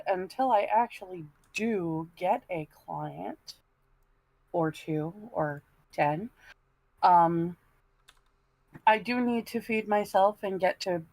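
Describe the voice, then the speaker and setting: A woman on a panel stream speaking casually and plainly, in a halting way. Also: fairly low pitch, creaky, darkish tone, dry recording, rough recording. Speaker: panel member. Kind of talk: casual, halting plug to viewers.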